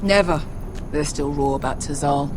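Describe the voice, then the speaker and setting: A woman's voice: sits low, moderate pace, background noise, close to an everyday voice. A woman playing a tough, foul-mouthed female sellsword in a video game drama, blunt and sardonic. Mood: grim sarcasm.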